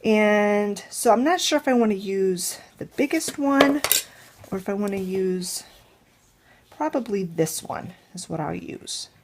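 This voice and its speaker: Slow-talking congested woman. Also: medium pitch, expressive, a little hiss or hum. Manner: thinks aloud step by step, unhurried. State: congested nose. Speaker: crafting channel host. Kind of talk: casual DIY tutorial. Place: home craft table.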